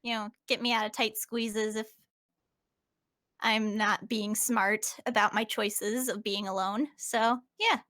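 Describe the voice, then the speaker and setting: A woman's voice: medium pitch, steady tone, slow, pushed and bright. A woman voicing a young, cheerful, slightly anxious hedgehog heroine in a fan Q&A, chatty and hesitant. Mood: cheerful, wry. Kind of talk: improvised in-character fan Q&A.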